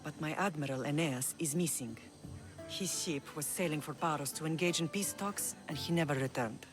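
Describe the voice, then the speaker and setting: A woman playing a dignified older Greek mother who rules an island, grave and measured, in a video game drama. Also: low-pitched, over music, lightly acted. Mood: commanding.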